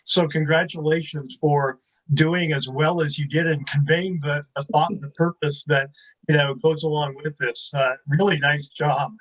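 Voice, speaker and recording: Higher-voiced man, halting and casual. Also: steady tone, a bit bright, poor audio. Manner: halting, repeats words. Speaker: audience member giving feedback. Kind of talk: casual spoken feedback. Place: online video call.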